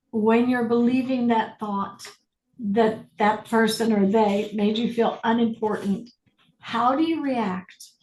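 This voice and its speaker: Calm woman guiding a group, echoey room. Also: fairly high pitch. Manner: calm, slow, deliberate prompting. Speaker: facilitator. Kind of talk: guided inquiry on a group video call. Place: online group session.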